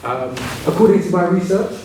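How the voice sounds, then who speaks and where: A man introducing a priest to an audience, hesitant with frequent pauses. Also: high-pitched, dark tone, a little hiss or hum. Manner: hesitant, with many ums. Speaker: event host introducing the speaker. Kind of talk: introduction of a guest speaker. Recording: echoey hall from far off.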